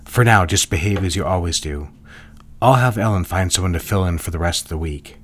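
An actor playing a calm, commanding married boss in an audio drama. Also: male voice, low-pitched, brisk, slight background noise. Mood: controlling. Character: controlling married boss, man, middle-aged. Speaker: lawyer boss.

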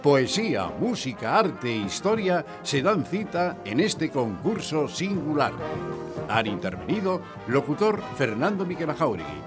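A man's voice: a little high, big pitch swings, measured pace, music in the background. A man playing a polite, patient radio contest host, presenting in a radio theatre show. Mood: measured announcing.

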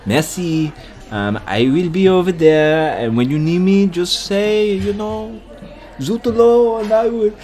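A bumbling young cafe waiter in a comedy radio play, acted by a man. He has an unconvincing French accent and a cheery manner. His delivery is slow and halting as he fumbles for words. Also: high voice, a little mellow, voice pushed down. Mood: helpful. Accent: mock French.

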